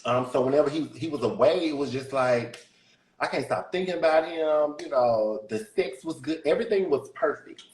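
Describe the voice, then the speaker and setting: A man with a deep voice, a guest musician chatting candidly in a casual interview and laughing often. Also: moderate pace, a little mellow, echoey room, poor audio.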